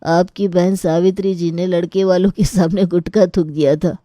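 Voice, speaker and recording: Storybook priest and matchmaker, woman performer, courteous, steady and reassuring, smooth dark tone, no room sound. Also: on the low side, moderate pace, no echo, close to an everyday voice.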